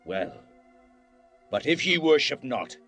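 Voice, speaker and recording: An actor playing a proud, wrathful ancient king in a dramatized scripture, speaking in a grand, deliberate, declamatory way. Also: medium pitch, very animated, a bit bright, heavily acted. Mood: menacing. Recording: music bed behind the voice.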